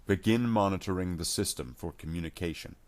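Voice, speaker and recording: A man with a low-pitched voice serves as narrator for a science fiction tale. He reads from a script in a measured, dramatic storytelling style, keeping to his own voice throughout. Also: darkish tone. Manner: measured storytelling delivery without character voices.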